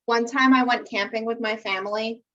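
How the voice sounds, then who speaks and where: A woman's voice: fairly high pitch, steady tone, moderate pace, a bit bright, lo-fi sound. An American woman co-hosting a podcast in casual, emphatic chat peppered with slang. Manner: emphatic, uses swearing and slang. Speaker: podcast co-host. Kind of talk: casual chat about a TV dating show.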